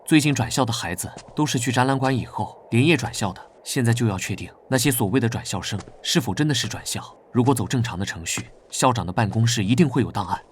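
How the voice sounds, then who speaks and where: An actor playing a guarded, prickly young knight vice-captain who speaks curtly and earnestly, in an animated drama dub. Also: male voice, medium pitch, quick pace, hardly any pauses, slight background noise, natural voice. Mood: wary. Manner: curt, wary and earnest. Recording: background music under dialogue.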